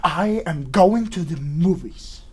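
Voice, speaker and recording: A man acting a trapped, bitter son in a theatrical monologue, swinging between hushed and shouted delivery. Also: a little high, animated, slow pace, breathy edge, exaggerated voice. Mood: bitter, defiant. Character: trapped, bitter young son arguing with his mother.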